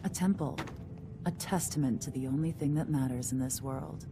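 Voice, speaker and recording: Low female voice, haughty calculating crime boss, refined, sardonic, condescending, game dialogue over music. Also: normal speed. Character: haughty, calculating female leader of a money-loving gang. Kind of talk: video game dialogue acting.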